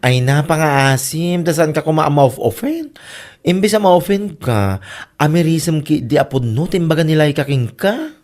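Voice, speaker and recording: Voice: medium pitch, animated, measured pace, darkish tone, close to an everyday voice. A performer playing a teasing, gossipy, easily amused older sibling with an Ilocano Filipino accent in a comedy radio drama.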